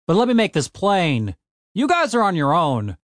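This is a cynical, foul-mouthed boss from a storybook, acted out by a man narrator. He is blunt and sarcastic, always exasperated. His pitch swings widely, in a very dry recording. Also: huge pitch swings, normal speed, no room sound. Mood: grudging, blunt.

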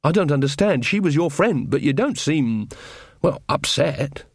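A man's voice: on the high side, lively intonation, unhurried, vocal fry, slightly rough, dry recording, studio quality, exaggerated voice. A man voicing an earnest, uncertain younger witch woman in an audiobook, speaking hesitantly and with feeling. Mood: sad, bewildered. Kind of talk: audiobook character dialogue.

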